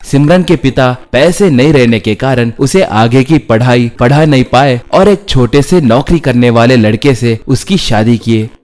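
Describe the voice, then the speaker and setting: A male narrator reads a scripted moral tale. He goes at a slow pace in a mid-pitched voice, over faint background music. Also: darkish tone. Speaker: story narrator.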